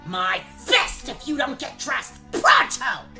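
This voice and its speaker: Shrill, scheming fairy-tale evil mom. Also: female voice, huge pitch swings, slow, gravelly, bright, forceful tone, faint music behind, exaggerated voice. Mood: shrill, angry. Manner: sarcastic, barking orders. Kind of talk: comedic gag-dub radio play.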